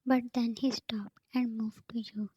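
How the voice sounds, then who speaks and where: A woman narrating a story in a steady, soft unvoiced whisper. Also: fairly high pitch, a little slow, husky. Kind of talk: whispered fan fiction reading.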